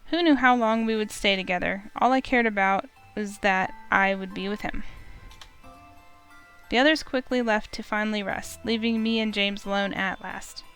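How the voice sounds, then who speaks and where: A woman's voice: medium pitch, fairly even intonation, moderate pace, fairly bright tone, soft background music. A woman streamer reading a visual novel aloud, narrating expressively in first person.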